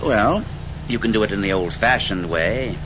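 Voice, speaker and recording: A man playing a worldly-wise, theatrical ghost mentor in an old-time radio drama, with drawn-out phrasing. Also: lowish voice, natural voice. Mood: wry, explaining. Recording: muffled old radio recording, loud vehicle noise.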